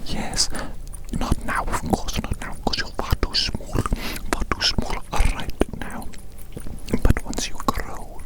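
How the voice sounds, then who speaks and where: Woman acting a slow whispering mad scientist. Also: lightly acted, rough recording. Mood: scheming. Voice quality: breathy, unvoiced whisper. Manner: slow, repetitive, theatrical, coaxing. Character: gleeful evil mad scientist, doting on their creature. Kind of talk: whispered ASMR roleplay.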